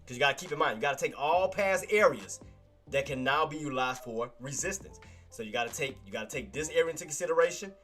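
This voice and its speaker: A man's voice: medium pitch, a bit bright. A man with a congested nose hosting a live trading stream, casually walking through chart levels and repeating key points to his chat. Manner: casual, repetitive, talks to chat as "boss". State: nasal congestion. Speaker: trading livestream host. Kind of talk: live stock chart commentary.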